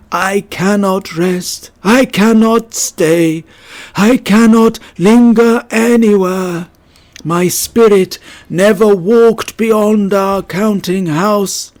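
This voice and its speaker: A doomed ghost from a storybook, mournful and declamatory. Every word is stretched very slowly in a raised, wailing pitch, like a dead businessman lamenting his fate. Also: fairly even intonation, darkish tone. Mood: anguished, weary. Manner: slow, drawn-out, wailing declamation.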